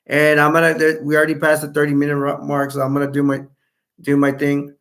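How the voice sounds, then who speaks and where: A man hosts a live chat stream, reading out viewer comments and wandering from one thought to the next. His voice sits somewhat high and has a gravelly fry in it. It is getting hoarse as he talks. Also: monotone, fast, fairly bright tone. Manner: rambling, self-correcting, chatty. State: voice going hoarse.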